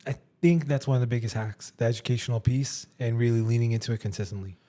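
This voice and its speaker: A man's voice: medium pitch, fast talker, warm tone. A man hosting a casual interview as a realtor, effusive and emphatic, repeating words for stress. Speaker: podcast host, realtor.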